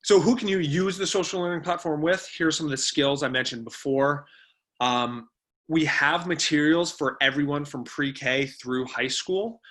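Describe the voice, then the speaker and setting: A man's voice: on the high side, moderate pace, fairly bright tone. A man giving an informal online presentation, explaining things in a conversational way as the webinar's presenter. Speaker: webinar presenter, company co-founder. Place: remote webinar.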